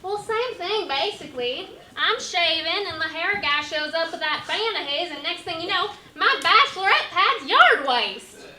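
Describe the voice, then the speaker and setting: A woman's voice: very high-pitched, animated, moderate pace, pushed and bright, heavily acted. An actress playing a sassy young female pig in a comic fairytale play, folksy and exclamatory, in an echoey room. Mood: dramatic, indignant. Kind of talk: comic stage-play acting.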